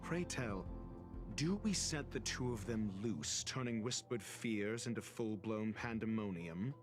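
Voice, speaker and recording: An actor playing a cool, calculating investigator in a video game, speaking in a measured, formal, sardonic and theatrical way. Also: male voice, lowish voice, animated, over music. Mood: grave, ominous. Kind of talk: dramatic video game voice acting.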